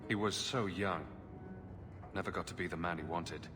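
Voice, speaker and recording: An actor as an earnest, low-voiced hero. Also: male voice, fairly even intonation, normal speed, natural voice. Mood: consoling. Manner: gentle, questioning. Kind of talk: video game cutscene acting. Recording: music behind the voice.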